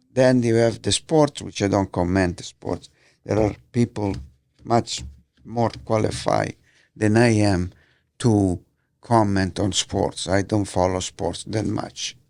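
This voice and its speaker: A man with an Italian accent hosting a podcast, reading the paper aloud in a halting way, slipping and rereading words. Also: lowish voice, gravelly, a little mellow, no room sound. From Italy. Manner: halting, slips and rereads words, many fillers. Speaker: podcast host. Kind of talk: casual solo podcast, reading newspapers aloud. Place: podcast studio. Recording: dry close studio sound.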